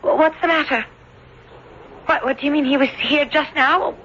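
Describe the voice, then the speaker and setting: A woman's voice: high voice, normal speed, a bit bright, muffled recording. A young woman acting in an old-time radio drama as an earnest, lovesick bride-to-be, hesitant, polite and pleading. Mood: distraught. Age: young adult. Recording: thin, hissy old radio recording.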